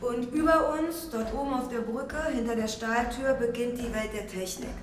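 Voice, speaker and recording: An actress playing a brisk, conspiratorial young squatter showing someone around in a radio drama. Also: female voice, a little high, noisy background, close to an everyday voice. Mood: conspiratorial, eager. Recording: echoey space with background ambience.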